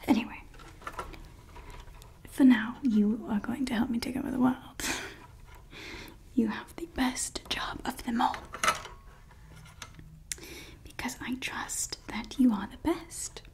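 A young woman voices a female evil scientist who dotes on her creation in close, breathy whispers. She speaks slowly and keeps her voice light and high. Every tender word carries a possessive edge. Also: fairly even intonation, darkish tone. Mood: doting whisper. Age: young adult. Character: doting yet menacing female evil scientist. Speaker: ASMR creator. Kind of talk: ASMR roleplay. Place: indoors. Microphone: close studio mic.

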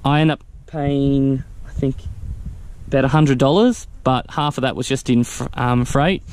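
Relaxed man explaining his gear. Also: mid-range voice, a little slow, clean tone. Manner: relaxed, practical explaining with fillers. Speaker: vehicle owner showing his camping setup. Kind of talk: casual walkthrough vlog. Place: outdoors beside a vehicle in a grassy field. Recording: faint wind noise outdoors.

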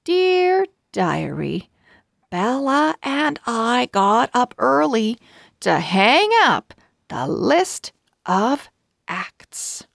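Female children's read-aloud host, expressive and careful, some creak in the voice, dry close recording. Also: fairly high pitch, dry recording. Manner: deliberate, word by word, expressive for young readers.

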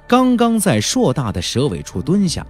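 Male audiobook narrator, husky, highly animated, theatrical fantasy delivery. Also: soft background music. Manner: theatrical, emphatic storytelling with drawn-out words. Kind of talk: dramatic scripted narration of a fantasy novel.

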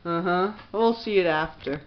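A woman's voice: lowish voice, moderate pace, lo-fi sound. A middle-aged woman chatting casually with a child, as a mother filming at home. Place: small bedroom at home. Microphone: handheld camera mic.

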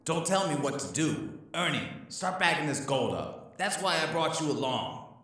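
A man's voice: on the high side, sing-song, normal speed, a bit bright. A man playing a bossy, conceited, grumpy crook in an audio drama, brusque and exasperated. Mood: bossy, irritated. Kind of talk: audio theater acting. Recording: very echoey, music underneath.

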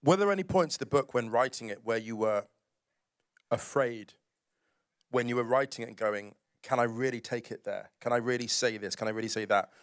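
Young man from the UK, mid pitch, dry close handheld mic, probing festival interviewer outdoors. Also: brisk, no echo. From United Kingdom. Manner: curious, probing questions. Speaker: writer and moderator. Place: outdoor festival stage among trees. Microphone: handheld microphone.

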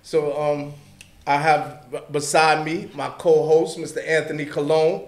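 A man hosting a podcast, introducing his guest in a loose, friendly, colloquial way, on a desk boom mic in a small studio. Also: flat delivery, unhurried, reverberant. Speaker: podcast host. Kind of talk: casual show intro and chat.

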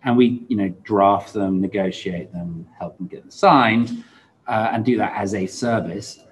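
A man's voice: fairly low pitch, a little slow. A man in his forties speaking casually as a podcast host in conversation. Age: 40s. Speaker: podcast host and law firm founder. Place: indoor room, seated.

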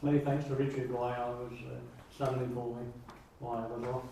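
A man chairing a formal council meeting in a halting, hesitant way. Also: medium pitch, little pitch movement, warm tone. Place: indoor public meeting room. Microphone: distant camera microphone. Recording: very echoey room, distant mic, noisy.

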